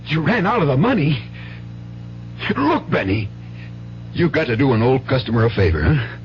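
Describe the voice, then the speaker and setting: A man's voice: mid-range voice, big pitch swings, normal speed, lightly acted. A muffled, noisy old radio drama recording with hum. A man acts out a desperate American father and gambler, wheedling and bitter, stumbling and repeating himself as he pleads. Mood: desperate, begging.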